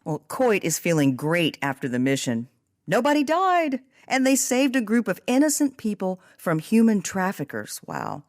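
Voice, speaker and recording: A woman's voice: mid-range voice, wildly swooping pitch, normal speed, a little mellow, no room sound, clean studio sound. A woman hosting a podcast, reading scripted narration and summaries. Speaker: podcast host.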